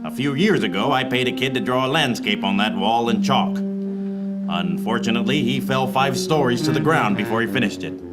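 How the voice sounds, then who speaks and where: An actor playing a wisecracking, world-weary private detective in a film noir radio play parody, with a deadpan delivery. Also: male voice, mid-range voice, normal speed, lightly acted. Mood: deadpan, brooding. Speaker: actor in lead role and narrator. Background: music behind the voice.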